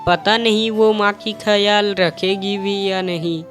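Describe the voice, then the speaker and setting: A woman's voice: medium pitch, fairly even intonation, a little slow, natural voice. A woman voicing an earnest, caring young man devoted to his mother in a cartoon. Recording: music bed under the voice.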